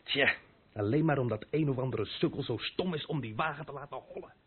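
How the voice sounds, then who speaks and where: An actor playing a gruff, reproachful long-haul lorry driver in a Dutch radio drama. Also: male voice, medium pitch, brisk, slight background noise, natural voice. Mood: irritated, explaining. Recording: muffled narrow-band old radio play.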